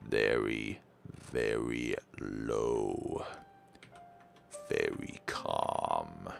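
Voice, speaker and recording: A man with an extremely deep, gravelly voice chats slowly and calmly while streaming a game. He mutters to himself as he clicks through menus. Soft game music plays faintly behind him. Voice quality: naturally deep voice. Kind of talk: casual live-stream gameplay chat.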